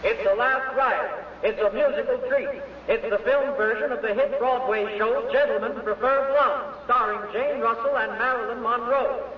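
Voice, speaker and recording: A man's voice: very high voice, moderate pace. A man delivering a vintage promotional announcement for a film. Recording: distant, echoing, dull, hissy vintage recording.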